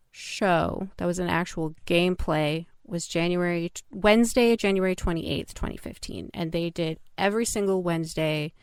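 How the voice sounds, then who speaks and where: A woman guest talks casually on a fan podcast in a clear, smooth voice pitched a little low. She is precise about details. The sound is dry and close. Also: no echo.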